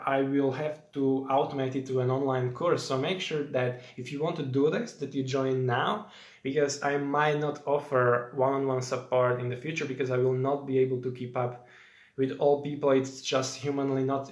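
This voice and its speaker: A young man with a Slovak accent talks loosely through a live stream, using plenty of fillers and breaking off to greet viewers. His voice sits at an ordinary middle pitch. The room around him sounds roomy and echoey. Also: level tone, moderate pace.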